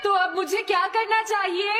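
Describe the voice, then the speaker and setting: A woman's voice: extremely high pitch, fairly even intonation, a little fast. A woman voicing a timid, panicky schoolboy in a cartoon dub, whiny and pleading. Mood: anxious. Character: timid, panicky schoolboy who whines for help. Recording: long reverb, loud music behind.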